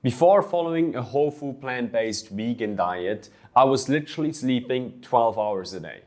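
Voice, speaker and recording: A man's voice: medium pitch, lively intonation, moderate pace, noisy background. Earnest young Swiss-born man telling his story. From Switzerland. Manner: earnest, storytelling with small restarts. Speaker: fitness coach. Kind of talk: personal vlog monologue.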